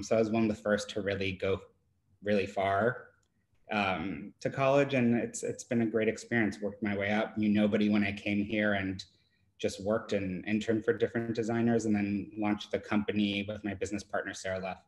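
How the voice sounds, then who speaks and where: A man's voice: fairly low pitch, level tone, moderate pace, low-quality recording. A man from San Diego, a designer on a virtual panel, speaking reflectively and personally. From USA (San Diego).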